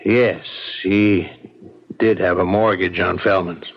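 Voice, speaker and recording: Low-voiced, guarded frontier townsman. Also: fairly even intonation, takes it slowly, breathy edge, exaggerated voice. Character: guarded, plainspoken frontier townsman, reluctant informant Mister Bennett. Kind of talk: old-time radio mystery acting. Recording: dull, band-limited old radio recording.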